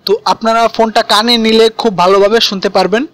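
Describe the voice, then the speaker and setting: A man's voice: high-pitched, fairly even intonation, fast, a bit bright, no room sound. A man narrating an instructional app tutorial as its host. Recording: voice-over with music behind.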